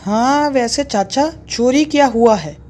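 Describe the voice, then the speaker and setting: A woman's voice: sits high, slow, a little mellow, faint music behind. A woman voicing a clever, eager young village boy who leads his friends in a children's cartoon story. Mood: curious.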